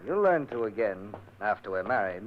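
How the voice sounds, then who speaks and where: An actor playing a brooding, controlling lord of a decaying manor, in vintage radio drama style. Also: male voice, on the low side, big pitch swings, moderate pace. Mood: menacing. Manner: dramatic, menacing, theatrical. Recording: muffled old radio recording with hiss.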